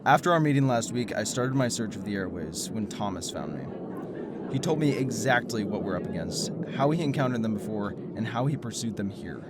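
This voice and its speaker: A man playing an earnest, anxious male conspiracy theorist in an audio drama, halting and stammering when worried. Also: fairly low pitch, expressive, heavy background noise, lightly acted.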